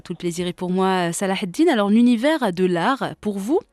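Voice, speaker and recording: A woman's voice: medium pitch, expressive, normal speed, darkish tone, no room sound. A woman with a mid-range voice hosts an interview, posing questions and filling in with short affirmations. Her voice is close and dry, and faint music plays behind her. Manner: friendly, brief affirming interjections. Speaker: interview host. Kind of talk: interview hosting.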